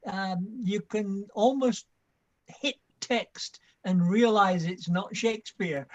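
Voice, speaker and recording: Older Scottish man, high voice, easygoing storyteller on a dull-sounding call. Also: slow. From Scotland. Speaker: reading group member. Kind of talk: casual chat.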